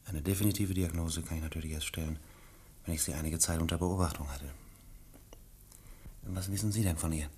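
A man acting as a composed chief physician in a German radio mystery, speaking in a deliberate, clinical manner. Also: rumbling low, fairly even intonation, a little mellow, slight background noise. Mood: grave, clinical. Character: composed male chief physician giving a careful diagnosis.

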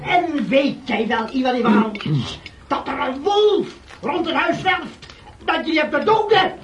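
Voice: high-pitched, very animated, a little slow. An actor playing an imperious, bullying estate steward in a radio play, barking commands and insults. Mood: menacing. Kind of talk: Dutch radio play acting. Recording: distant, echoey, noisy radio play.

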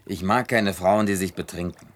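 A man's voice: low-pitched, steady tone, moderate pace, natural voice. An actor playing a stern, stubborn and disapproving man in a German radio drama, blunt and reproachful in delivery. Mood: grumpy, reproachful.

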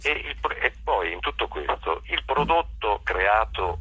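Very deep, husky man. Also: big pitch swings, bright, forceful tone, no echo, muffled recording. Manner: halting, searching for words with many repetitions. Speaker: guest, actor and playwright. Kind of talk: conversational interview, explaining his work.

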